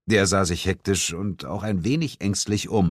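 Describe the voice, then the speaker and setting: A man narrating an audiobook in the first person with a dramatic, expressive reading. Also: low voice, normal speed, dry recording, clean studio sound, faint music behind. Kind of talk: scripted narration.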